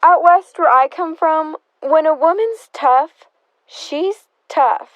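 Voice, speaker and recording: Brash, high-voiced frontier newswoman, acted by a woman. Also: takes it slowly, no room sound, lo-fi sound. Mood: breezy, pushy. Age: young adult. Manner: bold, breezy, pushy. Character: brash, nosy, slangy female frontier newspaper reporter. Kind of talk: stage play acting in an audio drama.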